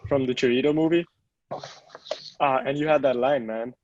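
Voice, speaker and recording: A man's voice: medium pitch, normal speed, lightly acted. Starstruck young male clubgoer, read by a man; gushing, slightly muffled audio. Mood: excited. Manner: excited, fumbling fanboy chatter. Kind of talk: table read of a screenplay.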